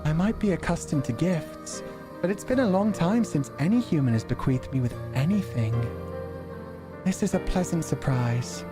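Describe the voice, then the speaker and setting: A gentle mermaid woman from a fantasy visual novel, acted by a man, speaking in a lifted pitch. She is gracious yet quietly manipulative, with formal, old-fashioned phrasing over music. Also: lively intonation, normal speed, close to an everyday voice. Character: gentle, benevolent, subtly manipulative female mermaid.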